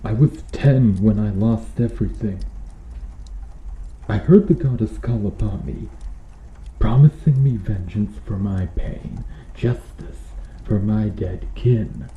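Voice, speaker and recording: Man acting a slow, low-voiced, brooding cruel knight. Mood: bitter, impassioned. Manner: menacing, deliberate, formal archaic phrasing. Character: cruel, vengeful medieval knight, brooding and intense. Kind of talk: ASMR roleplay, slow dramatic monologue. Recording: muffled, roomy sound with background noise.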